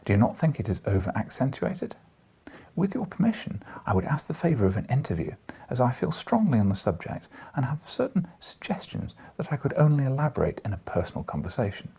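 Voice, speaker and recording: A man voicing a formal, flattering letter read aloud in an audiobook, pompous and deferential. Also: mid-range voice, brisk, creaky, husky, voice pushed up, heavily acted. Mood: pompous flattery. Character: formal male letter-writer, flattering, earnest, quietly challenging.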